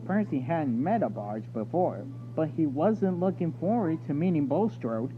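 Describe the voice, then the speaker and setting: A man narrates a children's storybook in a highish, very animated voice. The recording is thin and phone-like, with loud noise and hum behind him. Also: measured pace, music in the background. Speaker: story reader.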